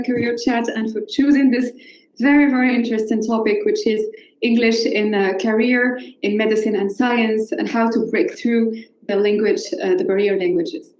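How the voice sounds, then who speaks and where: A woman's voice: on the high side, darkish tone, echoey room, poor audio. A woman from Morocco, whose first language is Moroccan Arabic, moderating a live online panel with frequent hesitant fillers over a video call. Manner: hesitant, many filler uhs.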